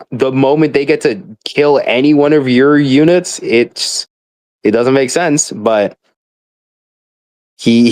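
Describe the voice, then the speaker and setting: A man with a mid-pitched voice rambles through a casual gaming rant. He sounds exasperated and emphatic, dropping swear words freely as he goes. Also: darkish tone. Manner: rambling, profane.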